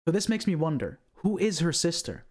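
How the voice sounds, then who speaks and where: A man's voice: fairly high pitch, big pitch swings, brisk, darkish tone. A man hosting an online video, giving scripted commentary on video game lore in a presenter's style.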